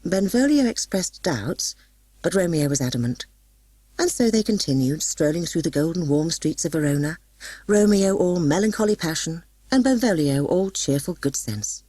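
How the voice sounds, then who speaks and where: Low, husky woman narrating a story. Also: normal speed, dry recording. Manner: dramatic, expressive storytelling. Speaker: audiobook narrator.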